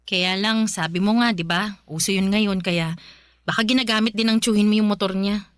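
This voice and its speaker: A woman's voice: medium pitch, steady tone, moderate pace, a bit bright, dry recording, clean studio sound, natural voice. Reassuring young Filipino wife, acted by a woman. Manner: reassuring, coaxing. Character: supportive, practical young wife. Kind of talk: Filipino radio drama acting.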